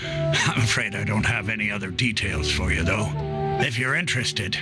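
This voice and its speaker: An actor playing a gruff, wry male mercenary chief in a video game, measured and matter-of-fact, with a gravelly voice. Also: on the low side, loud music behind the voice, natural voice. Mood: advising, wry. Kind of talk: video game character dialogue.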